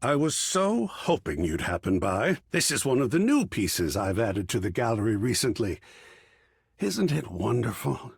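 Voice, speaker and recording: A man's voice: medium pitch, sing-song, unhurried, creaky, breathy edge, no echo, clean studio sound, full character voice. A man voicing an effusive, refined art curator in a video game, theatrical and fussy, savoring florid phrases. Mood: proud, welcoming. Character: effusive, refined male art curator, an artwork come alive. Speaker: gallery curator character.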